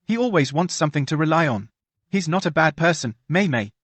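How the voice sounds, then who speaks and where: Higher-pitched, conciliatory father played by an actor. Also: expressive, a little fast, fairly bright tone, no room sound. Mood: pleading, gentle. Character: concerned father, conciliatory, pleading for family. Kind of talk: scripted dubbed audio drama.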